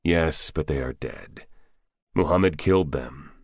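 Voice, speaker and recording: A man's voice: rumbling low, unhurried, a little mellow, no echo, clean studio sound, full character voice. A man voicing a curt, commanding soldier. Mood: terse. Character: terse, commanding male soldier operative. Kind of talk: audiobook character voice.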